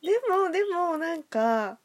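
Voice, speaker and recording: A woman's voice: extremely high pitch, lively intonation, a little slow. A woman hosting an audio drama show, chatting casually and chattily with a guest. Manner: chatty, frequent backchannels.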